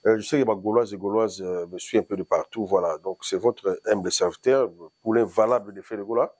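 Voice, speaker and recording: Man, from Congo, guest musician, husky, mellow tone, rambling casual chat. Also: on the low side, fairly even intonation, brisk. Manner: loose, rambling, switches between French and Lingala. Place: in Paris.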